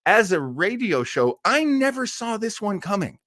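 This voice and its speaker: Animated man hosting a casual livestream. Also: high-pitched, no room sound, studio quality. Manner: rambling, halting, searching for words; enthusiastic. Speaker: host of an old time radio show livestream. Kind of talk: casual, chatty livestream introduction.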